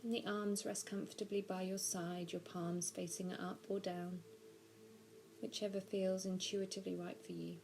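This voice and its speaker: A woman narrating a guided body scan meditation, giving gentle, measured instructions slowly with pauses. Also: mid-range voice, flat delivery, gravelly. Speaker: mindfulness practitioner guiding a meditation.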